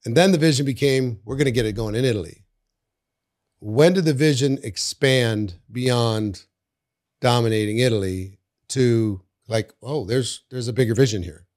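An older man hosting a podcast interview in a studio, talking casually into a boom mic, often repeating words and trailing off quietly. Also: normal speed. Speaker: podcast host. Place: studio with bookshelves. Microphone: studio mic on boom arm.

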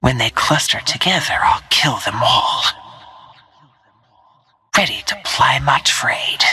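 A woman voicing a stealthy, cold-blooded assassin in a video game, with hushed, clipped, menacing short lines in a breathy, whispery voice. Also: very deep voice, animated, takes it slowly, heavily acted. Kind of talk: video game character callouts.

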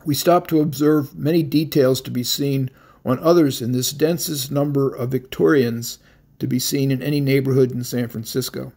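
Husky man narrating a tour recap. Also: mid-range voice, fairly even intonation, normal speed, darkish tone. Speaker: walking tour organizer. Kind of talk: recorded voice-over recap.

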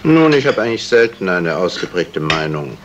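An actor playing a modest, evasive, polite amateur sleuth in a 1970s German radio crime drama, courteous and deliberate. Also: male voice, low-pitched, sing-song. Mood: musing. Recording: noisy old radio play recording.

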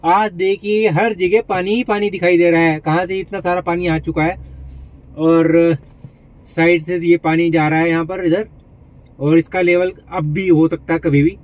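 A man's voice: high-pitched, level tone, normal speed. A man narrating a vlog as he drives, chatty and pointing things out to viewers, mixing English phrases into his talk. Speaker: vlogger. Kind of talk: casual narration while driving. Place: inside a car.

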